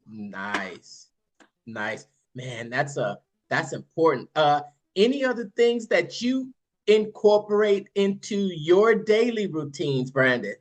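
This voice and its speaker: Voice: medium pitch, expressive. A host leading a sales practice video call, encouraging and affirming the others. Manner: encouraging, affirming, drawn-out delivery. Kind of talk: casual group call.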